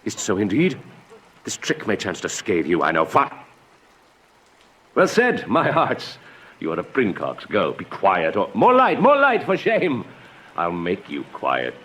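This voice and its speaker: Blustering lord, swooping low voice. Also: male voice, huge pitch swings, a little slow, long pauses, a bit bright, slight background noise, heavily acted. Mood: jovial. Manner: blustering, commanding. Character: domineering older uncle and lord, genial host, quick to scold. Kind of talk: Shakespearean radio drama acting. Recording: party crowd chatter behind.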